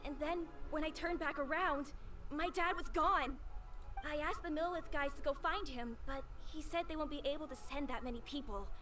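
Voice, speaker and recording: A woman's voice: high voice, moderate pace, bright, forceful tone. A woman voicing an earnest, worried little boy in a video game. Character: worried little boy looking for his father. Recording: game music underneath.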